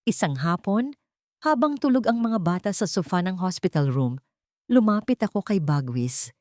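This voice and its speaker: A woman narrates a dramatic first-person story at a calm, steady pace. Her voice sits fairly low and sounds earnest. The recording is dry and close. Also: moderate pace, no room sound.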